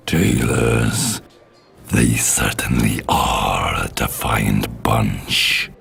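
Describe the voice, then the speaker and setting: A man voicing Satan as a sneering male devil lord, speaking slowly with contempt. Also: a bit bright, faint music behind, heavily acted. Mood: disgusted. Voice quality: deep gravelly growl. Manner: slow, contemptuous.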